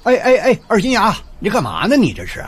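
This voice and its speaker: A man's voice: sits high, wildly swooping pitch, a little fast, husky, faint music behind, heavily acted. An actor playing a chatty retired courthouse doorman in a Chinese radio drama, an older man with a Beijing accent and a folksy, theatrical storytelling manner. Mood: neighborly, curious. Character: chatty retired courthouse doorman, eager neighborhood law volunteer. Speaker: storytelling host and lead character of a radio drama.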